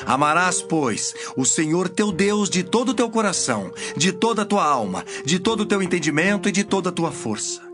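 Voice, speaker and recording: A solemn male teacher acted by a man declaims scripture with authority. His inflection is lively and emphatic, as in an audio Bible drama. Light music runs underneath. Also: high-pitched, moderate pace, hardly any pauses.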